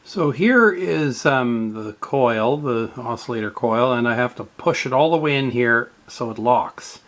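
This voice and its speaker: Slow, halting man explaining a radio repair. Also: medium pitch, lively intonation, a bit bright. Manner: slow, halting, with many fillers. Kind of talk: step-by-step repair narration.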